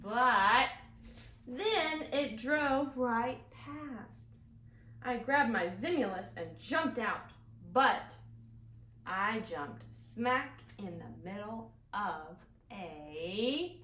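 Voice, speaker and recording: Earnest excuse-making kid from a storybook, female reader, high, swooping, emphatic delivery. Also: expressive, pushed and bright, heavily acted. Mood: excited, dramatic. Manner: emphatic, drawn-out storybook delivery with made-up words. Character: earnest, excuse-making schoolboy telling a tall tale. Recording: echoey room, clear background noise.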